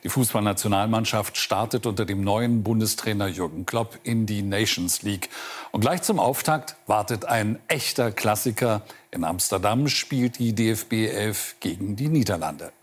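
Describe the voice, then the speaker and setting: A man's voice: fairly low pitch, moderate pace, breathy edge. A man with a standard German accent presenting scripted news as a studio anchor.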